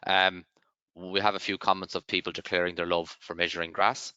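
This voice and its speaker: A man's voice: low-pitched, little pitch movement, fairly quick, sharp tone, clean tone, dry recording, highs cut off. A man moderating a webinar, reading out viewer comments with many hesitant ums. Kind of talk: moderating questions.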